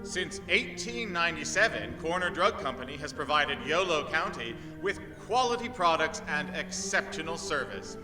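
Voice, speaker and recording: A high-pitched male narrator performs in an old radio style, theatrical and emphatic. His voice echoes around a live hall with music clearly behind him. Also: big pitch swings, normal speed, hardly any pauses. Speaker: radio play narrator and announcer.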